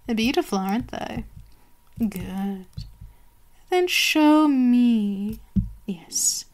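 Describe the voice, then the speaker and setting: A woman's voice: a little high, animated, extremely slow, a little mellow, exaggerated voice. A woman voicing a haughty, cruel and seductive vampire queen in an ASMR roleplay, savoring her commands and gloating. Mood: cruel, taunting.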